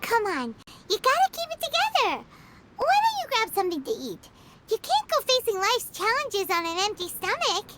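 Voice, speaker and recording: A woman voicing a small, childlike fairy companion in a video game, chirpy, nosy and caring, with a squeaky voice and exclamatory delivery. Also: sing-song, normal speed, noisy background. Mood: concerned, encouraging. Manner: speaks of herself in third person, exclamatory. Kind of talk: video game character acting. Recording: background music throughout.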